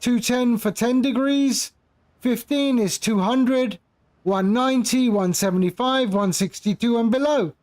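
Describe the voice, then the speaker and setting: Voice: medium pitch, a little slow, darkish tone. A flight simulator streamer giving casual live commentary, patiently explaining and repeating phrases while chatting with viewers.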